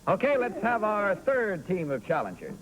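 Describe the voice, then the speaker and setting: A man hosting a 1950s TV game show with brisk, genial announcer delivery. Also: sits high, wildly swooping pitch. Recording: noisy 1950s TV broadcast.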